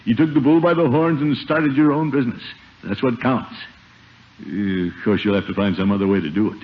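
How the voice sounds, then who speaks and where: An actor playing a pompous, easily flustered middle-aged uncle in a 1950s radio sitcom, blustering and stammering. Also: male voice, mid-range voice, a little fast, close to an everyday voice. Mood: placating. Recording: muffled, noisy 1950 radio recording.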